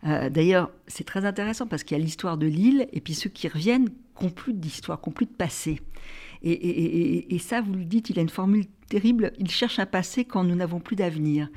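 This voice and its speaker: A woman interviewer discussing a novel with its author, admiring and halting, often repeating words before she lands on a phrase. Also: lowish voice, a little mellow. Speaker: literary interviewer. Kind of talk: interview, reading excerpts.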